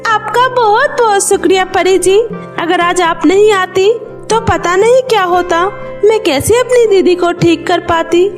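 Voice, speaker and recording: A woman's voice: very high-pitched, unhurried, soft background music. A woman voicing a poor, devoted, anxious young schoolgirl in a children's story, with a pleading, emotional manner. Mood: relieved.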